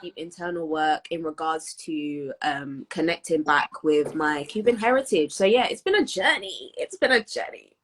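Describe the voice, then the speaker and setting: A woman's voice: low voice, expressive, moderate pace. A British woman in her twenties chatting casually as a podcast co-host, emphatic and slangy, dropping in Spanish phrases. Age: 20s. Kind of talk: casual chat.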